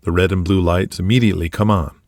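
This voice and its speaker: A man's voice: low voice, fairly even intonation, fast, a little mellow, dry recording. A man narrating a scripted audio drama.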